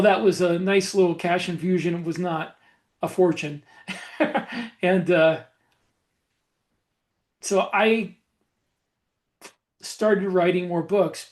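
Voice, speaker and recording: A man with a noticeably high voice for a male speaker chats casually as a podcast host. He tells his stories reflectively, in a halting way, feeling his way through each thought. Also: a little mellow. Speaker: podcast host and self-published author. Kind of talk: casual podcast conversation about a writing career.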